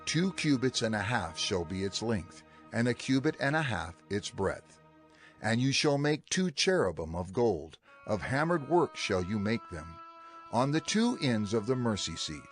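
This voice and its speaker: An actor's emphatic, solemn male scriptural voice, lively pitch. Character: solemn male scriptural speaker giving firm, detailed instructions. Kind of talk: dramatized scripture reading.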